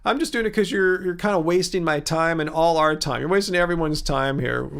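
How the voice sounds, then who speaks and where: A man's voice: on the high side, fairly quick, gravelly, fairly bright tone. A middle-aged man hosting a livestream, reading chat comments and answering in a rambling, casual way with lots of fillers. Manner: chatty, rambling, many fillers and restarts.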